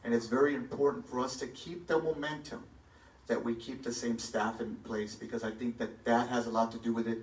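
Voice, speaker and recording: A man's voice: medium pitch, flat delivery, fairly quick. A man running for mayor, answering a forum question in a confident, emphatic way on a stage in a large hall. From Texas. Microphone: handheld mic, filmed from far back. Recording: echoey hall, far from the phone.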